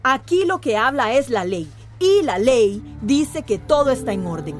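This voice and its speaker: A woman's voice: on the high side, very animated, measured pace, over music. An actress playing a curt, officious, dismissive woman mayor in a radio drama. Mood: dismissive, defensive.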